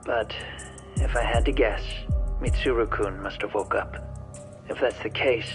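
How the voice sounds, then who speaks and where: An actor playing a resigned fugitive in a game drama, speaking in a measured, earnest, deliberate way. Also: male voice, fairly low pitch, over music, close to an everyday voice. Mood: somber, resolute. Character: resigned, soft-spoken fugitive man confronting a woman with guilt. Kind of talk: dramatic video game cutscene acting.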